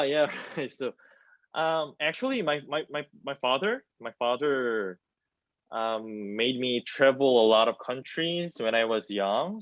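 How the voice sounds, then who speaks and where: A man in his twenties from South Korea with a Korean accent, answering as a student in a halting, word-searching way. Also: medium pitch, dry recording. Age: 20s. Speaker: theater student. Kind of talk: panel discussion answer. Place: small plain room, indoors. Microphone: wireless earbuds on video call. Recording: muffled video-call audio.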